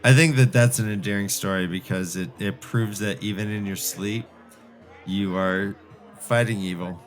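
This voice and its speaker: A man's voice: fairly low pitch, steady tone, normal speed, faint music behind. A man co-hosting a podcast, discussing and bantering casually in a thoughtful, hesitant, wry way. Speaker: podcast co-host.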